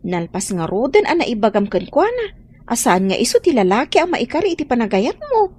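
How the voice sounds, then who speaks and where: A woman's voice: on the high side, very animated, a little fast, darkish tone, husky, no room sound. An actress playing a knowing, concerned woman in an Ilocano radio drama, exclaiming as she comforts and counsels.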